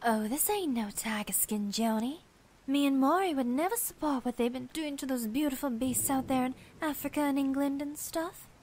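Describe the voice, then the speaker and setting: A woman's voice: sits high, normal speed, darkish tone, a little hiss or hum, natural voice. A woman playing a vain, callous gangster's wife showing off in slangy comic dub dialogue. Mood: smug, boastful.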